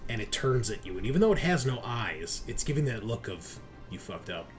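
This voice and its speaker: A man's voice: mid-range voice, big pitch swings, a little fast. A man running a tabletop roleplaying game as game master, narrating action and ruling on dice rolls. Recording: music playing behind the voice. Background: music.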